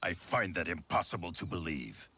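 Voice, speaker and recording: An actor playing a stern, distrustful warrior in a video game, speaking in grave, clipped, formal phrases. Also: male voice, low voice, animated, normal speed, a little hiss or hum, full character voice. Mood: stern, suspicious. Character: stern, distrustful male warrior guarding his allies. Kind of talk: dramatic video game acting. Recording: loud music behind.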